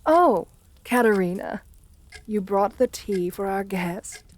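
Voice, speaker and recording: An assertive, seductive countess with an air of the vampire about her, played by a woman. Her voice sits at a medium pitch for a woman. She speaks intimately, as in an ASMR roleplay, flirtatious and soothing with genteel poise. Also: slow, a little mellow, a little hiss or hum, close to an everyday voice. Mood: genteel, coaxing.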